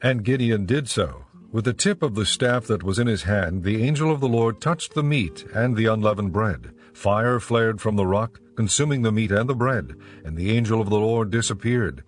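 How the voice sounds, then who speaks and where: A man narrating a dramatized audio Bible in a measured storytelling manner. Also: on the low side.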